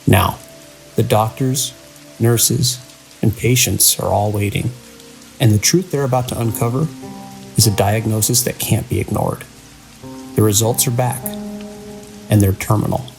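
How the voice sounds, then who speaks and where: A man's voice: lowish voice, unhurried, gravelly, pauses often, darkish tone, soft background music, rough recording. A man reading a scripted, atmospheric introduction as a channel host.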